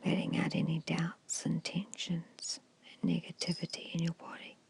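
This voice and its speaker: A woman guiding an ASMR meditation in a hushed, soothing, breathy whisper with short, measured phrases. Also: low-pitched, monotone. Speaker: hypnosis and meditation guide.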